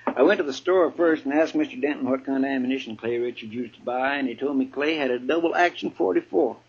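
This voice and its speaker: An actor playing a loyal, folksy deputy in a 1950s radio western. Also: male voice, medium pitch, fast talker, slightly rough. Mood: earnest. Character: folksy, loyal marshal's deputy in the Old West. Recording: muffled 1950s radio recording.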